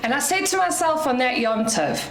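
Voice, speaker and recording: A South African woman with a loud voice gives an inspirational talk to an audience as guest speaker, chatty with light laughs. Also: sits high, expressive, moderate pace, echoey room, a little hiss or hum. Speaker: guest speaker at a community dinner.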